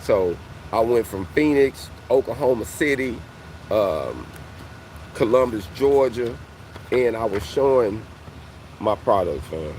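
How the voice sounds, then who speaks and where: A man's voice: medium pitch, lively intonation, slow, pauses often, a little mellow, a little hiss or hum, poor audio. A man in his forties, a welding coach, talking casually to his viewers and often calling them "fam". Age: 40s. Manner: conversational, repeats "fam" and "you know what I mean". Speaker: welding coach and channel host. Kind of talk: casual motivational talk to viewers.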